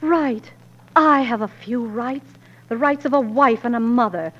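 An actress playing a strong-willed, long-suffering frontier wife and editor in 1940s radio drama style, emotional and emphatic. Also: female voice, on the high side, a little slow, fairly bright tone, lo-fi sound. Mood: angry, defiant. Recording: old 1940s radio recording.

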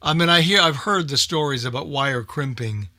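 Bright-voiced man in casual, halting chat. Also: mid-range voice, lively intonation, no room sound, clean studio sound. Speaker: host organizing an online group session.

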